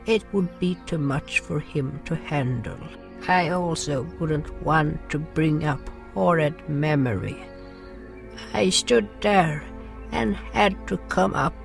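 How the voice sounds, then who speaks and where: A man playing a gentle nonhuman swamp creature who narrates a fantasy audio drama haltingly, in broken grammar with dropped articles. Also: a little high, gravelly, full character voice. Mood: hesitant, sorrowful. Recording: music behind the voice.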